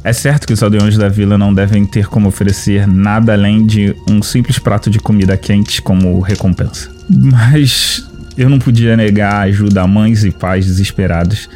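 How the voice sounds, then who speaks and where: A man's voice: on the low side, warm tone, natural voice. A man playing a naive, hopeful armored adventurer musing aloud to himself in an audio drama. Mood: resolute. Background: music.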